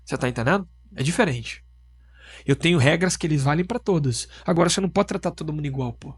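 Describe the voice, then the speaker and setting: A man's voice: on the high side, fast, slightly rough. A man with a Southern Brazilian accent, a business owner talking on a podcast, emphatic and full of rhetorical questions. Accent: Southern Brazilian Portuguese (Rio Grande do Sul). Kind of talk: casual podcast conversation.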